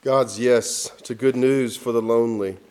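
A man reading scripture at a church service in a measured, formal delivery. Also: medium pitch, steady tone, darkish tone, faint music behind.

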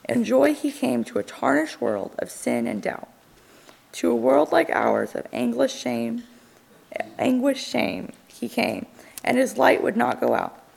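A woman with a fairly high voice reads scripture and poetry for a church service. Her voice is heavily creaky and husky, and her delivery is solemn and measured, declaimed like a formal reading. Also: huge pitch swings, a little mellow. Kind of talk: solemn read-aloud of scripture and verse.

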